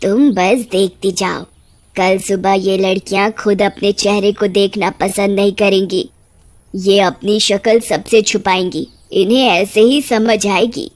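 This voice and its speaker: A woman voicing a small witch ghost in a cartoon story, sympathetic and quietly vengeful, speaking in a measured, reassuring way. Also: medium pitch, fairly even intonation, pitched below the performer's own voice, close to an everyday voice. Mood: vowing revenge. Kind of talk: animated cartoon story dialogue.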